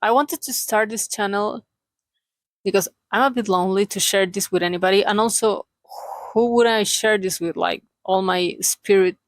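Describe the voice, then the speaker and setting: A female vlogger from Chile talks off the cuff in Spanish-accented English with a Chilean flavor. Her medium-pitched voice has a gravelly fry to it and is captured dry, with no room sound. She feels her way through sentences, hunting for words and gently poking fun at herself. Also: normal speed, no room sound. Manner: hesitant, searching for words, self-deprecating, jokey asides. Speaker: vlogger, aspiring cartoonist and illustrator. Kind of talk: casual unscripted confessional chat.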